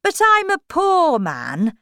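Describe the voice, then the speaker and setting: A woman's voice: very high voice, huge pitch swings, takes it slowly, fairly bright tone, no echo, clean studio sound, voice pushed up, full character voice. A woman voicing a flustered, apologetic poor hatter, hesitant and pleading, in a storybook.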